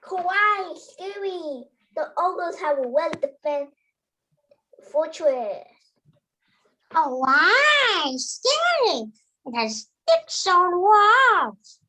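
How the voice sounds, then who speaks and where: A woman's voice: very high-pitched, very animated, pushed and bright, full character voice, poor audio. A woman playing a spirited, cheeky animal companion in a theatrical folktale reading. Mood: fearful. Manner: slow, theatrical, exclamatory delivery.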